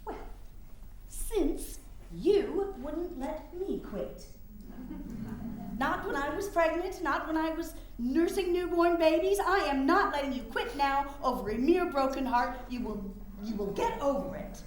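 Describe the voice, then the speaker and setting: A woman's voice: high voice, lively intonation, measured pace. A woman acting a resolute, confiding mother and suffrage campaigner in a staged period drama, speaking earnestly in formal phrasing. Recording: very echoey room with faint noise.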